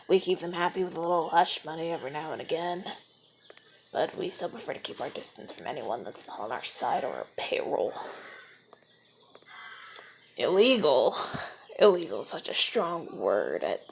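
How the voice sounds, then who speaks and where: A man voicing a suave young mafia enforcer in an ASMR audio roleplay, speaking softly, often in a whisper, and stammering now and then. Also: on the high side, vocal fry, a little hiss or hum, heavily acted. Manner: soft-spoken, often whispering, stammers when flustered.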